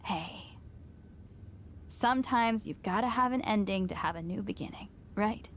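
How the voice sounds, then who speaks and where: An actress playing a teasing, caring wrestling promoter in a video game cutscene. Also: female voice, medium pitch, unhurried, slight background noise, close to an everyday voice. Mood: tender, wistful. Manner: teasing, sighs and chuckles.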